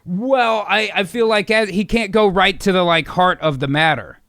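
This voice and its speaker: A man's voice: high voice, moderate pace, a bit bright, no echo, studio quality. A man chatting casually as he brainstorms a story with others. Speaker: voice actor co-writing an improvised film story.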